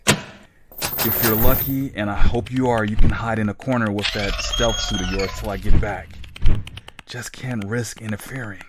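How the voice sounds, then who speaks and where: A man's voice: on the low side, steady tone, moderate pace, heavy background noise, natural voice. A man playing a cold, treacherous special instructor in a sci-fi horror audio drama, clipped, commanding and matter-of-fact. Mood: loud, apologetic. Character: cold, treacherous male special instructor, briskly apologetic killer.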